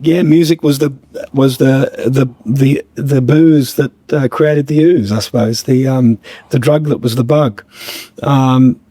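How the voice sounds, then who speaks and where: Slightly croaky man, halting. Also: medium pitch, steady tone, warm tone, no room sound. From Australia. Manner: halting, many fillers and restarts. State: a bit croaky. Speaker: guest musician. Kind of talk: reflective podcast conversation.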